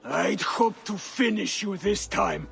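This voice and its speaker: An actor playing a defiant captive villain in a video game, taunting and sneering. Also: male voice, high voice, slow pace, gravelly, noisy background, heavily acted. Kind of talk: video game cutscene acting.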